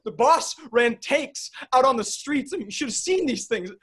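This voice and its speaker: A man's voice: extremely high pitch, animated, normal speed, a bit bright, slightly rough, exaggerated voice. An actor playing a rowdy young soccer-fan protester who swears and tells his story in an agitated rush. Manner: crude, breathless storytelling, swearing.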